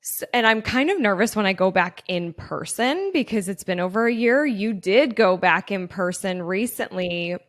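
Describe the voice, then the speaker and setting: An upbeat woman hosts a podcast and chats easily with her guest. She talks in a lively, enthusiastic way and laughs often, with a voice of ordinary pitch for a woman. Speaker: podcast host. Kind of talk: casual interview chat.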